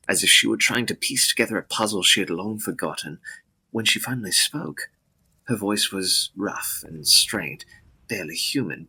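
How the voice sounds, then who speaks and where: A man with a husky voice narrating a gothic drama in a solemn, deliberate, theatrical way. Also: lowish voice, sharp tone, poor audio.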